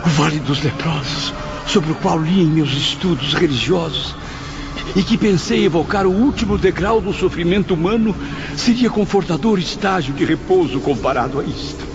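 A man's voice: on the high side, normal speed, music in the background, close to an everyday voice. An actor playing a despairing, lost spirit of a man in a radio drama, with a theatrical, lamenting delivery. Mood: horrified lament. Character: anguished, despairing suicide's spirit, lost in a dark afterlife. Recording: dull lo-fi radio drama audio.

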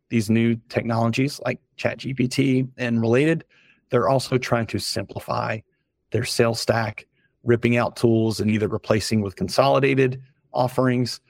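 A slightly hoarse man, a company founder, giving frank, casual advice as a podcast guest and listing his points in a loose conversational way. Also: mid-range voice, no echo, clean studio sound. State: a little hoarse. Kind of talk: casual business podcast talk.

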